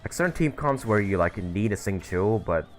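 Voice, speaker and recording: A man's voice: fairly low pitch, animated, moderate pace, soft background music. A man live streaming a video game, giving casual, rambling commentary as he thinks aloud.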